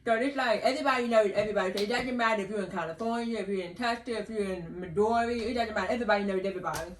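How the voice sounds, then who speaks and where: Fast-talking hard-of-hearing woman. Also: medium pitch. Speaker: podcast host. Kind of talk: casual family chat. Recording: echoey room from a distance.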